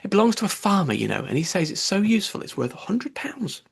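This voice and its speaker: Storybook little girl, played by a man: polite, flustered, chatty, pitched up with a husky edge. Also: big pitch swings, fast talker, heavily acted. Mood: fond, chatty. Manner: earnest, apologetic, rambling.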